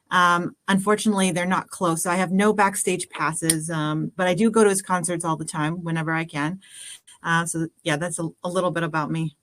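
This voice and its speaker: Female panelist, slightly low voice, casual conversational self-introduction. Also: a bit bright. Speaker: panelist, people partner.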